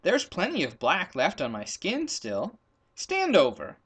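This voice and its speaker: A man voicing a practical, bossy hunter in a children's storybook, brisk and instructive. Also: high-pitched, wildly swooping pitch, fairly bright tone, husky, exaggerated voice. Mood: pleased, brisk. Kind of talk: storybook character voice in a read-aloud.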